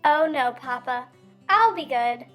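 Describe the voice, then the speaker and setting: An excitable little girl chicken for a picture book, pitched extremely high with swooping, lively intonation. She is eager and always ready to butt in. Music plays behind her. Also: slow pace. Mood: sweet, pleading. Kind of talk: children's picture book read-aloud.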